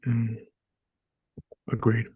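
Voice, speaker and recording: A young man co-hosting a casual sports podcast, speaking in a monotone and often halting on fillers. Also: medium pitch, breathy edge, narrow, tinny audio. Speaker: podcast co-host.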